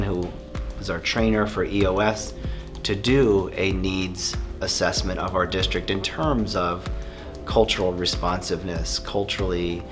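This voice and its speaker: A man working as a school administrator, explaining in a measured way in an interview. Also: sits low. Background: background music.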